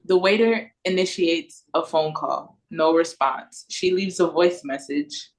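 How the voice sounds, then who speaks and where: Slightly low-voiced woman reading stage directions. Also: a little slow, low-quality recording. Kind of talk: read-through narration and casual chat. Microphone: video call.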